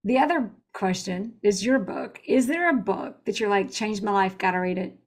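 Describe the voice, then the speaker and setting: A woman's voice: mid-range voice, moderate pace. A woman hosting a podcast interview, chatty and upbeat, eager to share favorite quotes and ask her guest questions. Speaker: podcast host. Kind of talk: casual interview chat.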